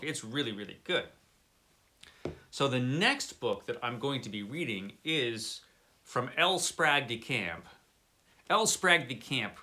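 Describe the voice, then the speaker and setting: A man's voice: medium pitch, sharp tone. A man hosting a book vlog, talking in a casual, chatty review style with wry, playful asides.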